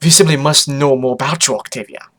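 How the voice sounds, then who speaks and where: A man's voice: mid-range voice, fairly quick, fairly bright tone, close to an everyday voice. A man voicing a flamboyant vampire pony mother with a grand, emphatic manner and a foreign accent, in a story reading. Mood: excited. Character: flamboyant vampire pony mother, female, theatrical fashion celebrity.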